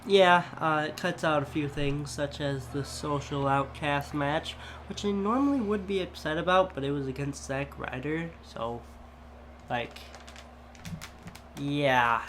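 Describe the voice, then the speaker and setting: A man's voice: fairly high pitch, a little slow, a bit bright, a little hiss or hum. A man co-hosting a casual wrestling review, laid-back and trailing off, a bit stuffy from a cold. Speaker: co-host. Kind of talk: casual chat.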